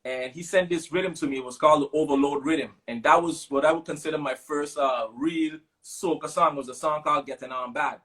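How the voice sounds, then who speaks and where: A man in his thirties with a Caribbean English accent from Sint Maarten and a fairly high voice. He talks in a loose, relaxed way, telling stories with lots of fillers as an interview guest. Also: brisk, hardly any pauses. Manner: relaxed storytelling with many fillers. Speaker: guest musician. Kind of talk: casual interview chat.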